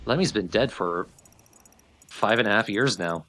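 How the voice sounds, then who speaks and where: A man's voice: lowish voice, expressive, a little slow, a bit bright. A man giving casual, wry, conversational co-commentary on a video game. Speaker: let's play co-commentator.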